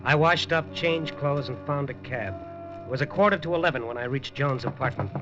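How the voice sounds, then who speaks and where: A man's voice: mid-range voice, brisk, close to an everyday voice. An actor playing a hard-boiled, wisecracking newspaper reporter who narrates his own story in a 1950s radio drama. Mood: narrating. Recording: dull old radio broadcast with music.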